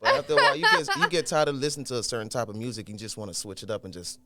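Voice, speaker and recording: A man's voice: mid-range voice, expressive, rapid-fire, very noisy. A young man from Haiti, a rapper guest on a podcast, laid-back and giving brief slangy answers in a studio. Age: young adult. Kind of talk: casual interview.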